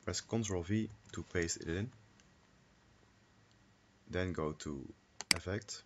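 Slow, low-voiced man giving a tutorial. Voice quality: low-pitched voice. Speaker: tutorial presenter. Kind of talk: casual screen-recorded software tutorial. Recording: noisy home microphone with clicks.

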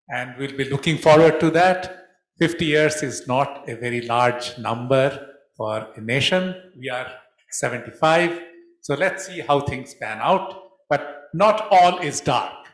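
A Bengali-native man moderating a panel, measured and courteous as he wraps things up. His voice sits a little high. The sound rings around a roomy lecture hall.

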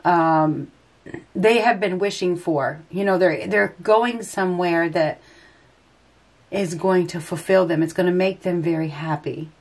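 A woman's voice: fairly low pitch, a little slow, a little mellow. A woman with a head cold and stuffy nose, giving an unscripted tarot reading and thinking aloud as she turns the cards. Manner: rambling, hesitant, thinking aloud. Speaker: tarot reader. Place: indoors at a table.

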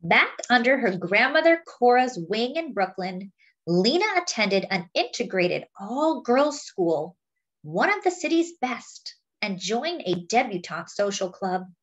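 A woman reading a children's picture book aloud as a story-time host, with deliberate phrasing for young listeners. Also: medium pitch, very animated, poor audio.

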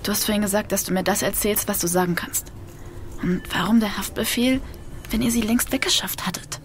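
An actress playing a bitter, defiant young daughter who demands answers, in a German audio drama. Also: female voice, mid-range voice, fairly quick, a little hiss or hum, lightly acted. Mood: insistent.

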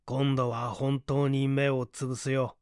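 A man voicing a composed gentleman hero in a story reading, calm and politely menacing. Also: mid-range voice, unhurried, clear, resonant tone, dry recording, studio quality, lightly acted. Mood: cool, composed. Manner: calm, polite, clipped authority.

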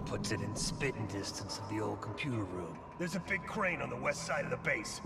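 A man playing a gruff, cynical American special operations soldier in a video game, gravelly and slangy with a wisecracking streak. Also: lowish voice, expressive, fairly quick, loud music behind the voice. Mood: sardonic, focused. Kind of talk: video game dialogue, terse military banter. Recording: noisy game mix with gunfire and vehicles.

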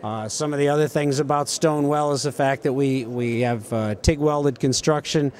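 Mid-pitched man explaining a product. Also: normal speed, darkish tone. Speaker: equipment exhibitor. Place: indoor trade show hall.

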